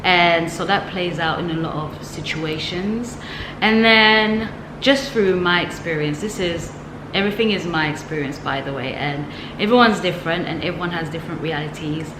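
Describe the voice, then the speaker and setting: A woman's voice: on the low side, normal speed. A woman talking casually to camera in a vlog, reflective and chatty, with light laughs and frequent fillers. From from the West, now living in Ghana. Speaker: vlogger. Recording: echoey room with noticeable background noise.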